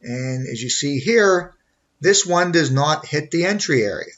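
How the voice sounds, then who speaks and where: A man's voice: on the high side, lively intonation, measured pace, a bit bright, muffled recording. A man teaching in an informal screen tutorial, explaining things methodically step by step. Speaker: trading instructor.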